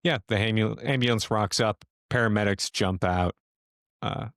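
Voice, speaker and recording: A man acting as game master, improvising casual narration of a tabletop game in halting phrases. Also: fairly low pitch, a bit bright, dry recording, studio quality.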